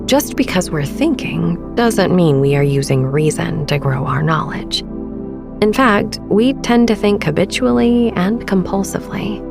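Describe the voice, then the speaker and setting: A woman in her thirties gently narrating a sleep meditation as podcast host, with a soft, breathy, soothing and unhurried delivery. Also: on the low side, expressive, music in the background.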